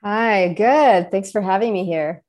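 A woman's voice: medium pitch, measured pace, a little mellow. A woman in her thirties from Russia, answering interview questions in an articulate way with frequent fillers. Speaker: guest entrepreneur.

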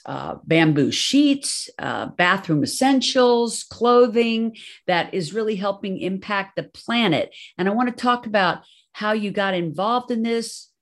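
Upbeat woman podcast host. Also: mid-range voice. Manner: upbeat, effusive, with many fillers. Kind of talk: scripted intro then interview chat.

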